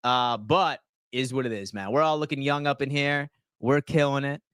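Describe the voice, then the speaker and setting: A man, hosting a casual sports livestream and answering viewers' comments in slangy, animated talk. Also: medium pitch, normal speed, clear, resonant tone, no room sound, studio quality. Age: 30s. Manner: slangy, animated, reads chat aloud and answers.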